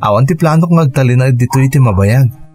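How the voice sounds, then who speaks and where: A man playing a kind, devoted suitor and stepfather figure in a radio drama, gentle and sincere. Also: medium pitch, expressive, fast, faint music behind, lightly acted.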